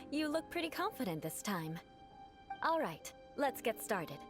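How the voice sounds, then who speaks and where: Classy noble lady knight from an anime game, proud n strict, higher voice, lots of lilt. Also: big pitch swings, slow. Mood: amused, encouraging. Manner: poised, formal, instructing. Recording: music behind the voice.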